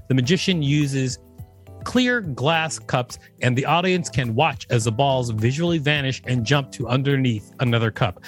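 A man co-hosts a podcast in a mid-pitched voice. He reads an encyclopedia article aloud and drops into casual banter. Faint music plays behind him. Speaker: podcast co-host.

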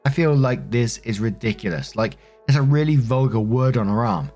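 A man narrating online stories in a steady, even reading voice. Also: medium pitch, normal speed. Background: faint music.

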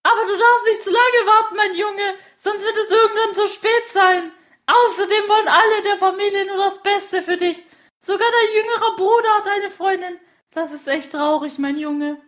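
Woman playing a shrill meddling aunt. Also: steady tone, moderate pace, a bit bright. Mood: patronizing. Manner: pushy, mocking, laughs while scolding. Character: nagging meddlesome middle-aged aunt. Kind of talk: amateur audio drama acting.

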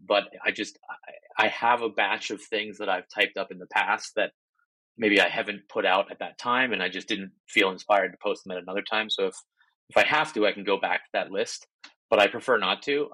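A man chatting as a podcast guest in a relaxed, self-deprecating way, with frequent ums and restarts. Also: on the low side, no echo, low-quality recording. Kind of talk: casual interview talk.

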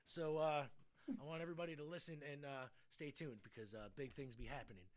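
A man's voice: fairly high pitch, steady tone, quick pace. A middle-aged man hosting a podcast in casual chat, rambling and often repeating his words. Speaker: podcast host.

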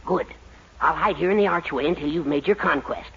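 Husky, scheming older American man. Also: a little high, fairly quick. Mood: confident plotting. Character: scheming older man, confident conniving mentor. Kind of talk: 1940s radio comedy acting. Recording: muffled 1940s radio recording.

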